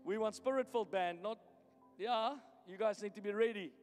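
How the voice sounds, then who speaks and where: A man's voice: sits high, normal speed. A pastor with a South African accent and Afrikaans as his first language, preaching a blunt, teasing, emphatic sermon in a church hall. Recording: echoey hall with music.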